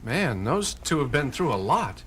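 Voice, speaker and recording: A man's voice: mid-range voice, big pitch swings, a little slow, fairly bright tone. An actor playing an eager teenage boy sidekick, wide-eyed and exclamatory, in a 1970s superhero record drama. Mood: curious. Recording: reverberant hall, music behind.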